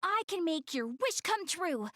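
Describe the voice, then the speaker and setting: A woman's voice: very high voice, measured pace, dry recording, studio quality, exaggerated voice. A woman voicing a proud, easily flustered young goddess girl in an anime game dub, speaking expressively and directly to the player. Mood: curious, teasing. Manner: expressive, hesitant when covering up embarrassment.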